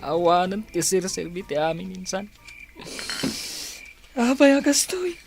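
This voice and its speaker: A man's voice: high voice, animated, unhurried, creaky, over music, exaggerated voice. An actor with a northern Philippine accent playing a heartbroken, reproachful young man in an emotional, pleading radio melodrama style. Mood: despairing.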